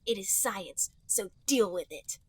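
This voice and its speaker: A man's voice: high-pitched, expressive, unhurried, slightly rough, no room sound, voice pushed up, heavily acted, poor audio. A man voicing an earnest young male fox who lectures matter-of-factly like a know-it-all in a fan fiction reading. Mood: earnest lecturing.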